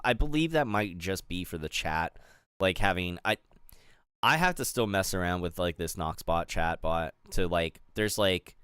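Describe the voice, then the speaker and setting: A middle-aged man from the Pittsburgh area chats loosely on a stream. His low voice is smooth and resonant, and the sound is close and dry. He rambles, restarts and fills pauses as he goes. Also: fairly bright tone, no echo. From Pittsburgh area, USA. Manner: rambling, chatty, many fillers and restarts. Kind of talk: casual chat while building a toy set.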